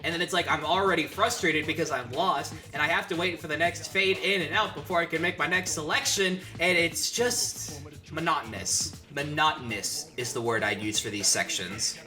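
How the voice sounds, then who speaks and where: A man's voice: fairly high pitch, animated, moderate pace, over music. A man giving a casual, opinionated video essay narration on games, with some swearing. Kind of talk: casual game review narration.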